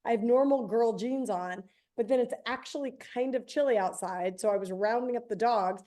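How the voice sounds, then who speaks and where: A woman in her forties co-hosting a podcast, hoarse today, chatting casually with quick self-deprecating asides. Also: fairly high pitch, warm tone. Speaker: podcast co-host. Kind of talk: casual chat.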